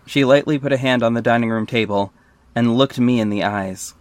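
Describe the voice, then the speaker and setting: A man's voice: medium pitch, a little fast, dry recording, natural voice. A man playing a wry, self-aware superhero who narrates his own story in a scripted audio drama. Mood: descriptive, lightly wry.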